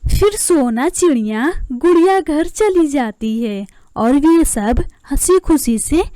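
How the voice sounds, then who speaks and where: A woman's voice: sits high, animated, slow pace, warm tone, no echo. A woman narrating a scripted story as a storyteller.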